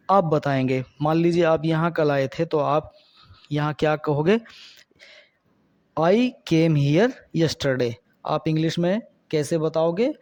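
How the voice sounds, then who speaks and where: A man teaches spoken English at a very slow, deliberate pace, saying each sentence twice and then translating it. His voice sits a little high for a man. The recording is dry and close, with no room sound. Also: darkish tone, dry recording. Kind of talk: spoken English lesson, explaining in Hindi.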